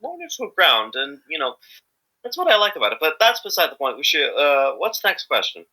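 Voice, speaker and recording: A man's voice: on the high side, wildly swooping pitch, a little fast, sharp tone, rough recording. A man chatting casually as an interviewed amateur voice actor, rambling and hesitant, often correcting himself as he goes.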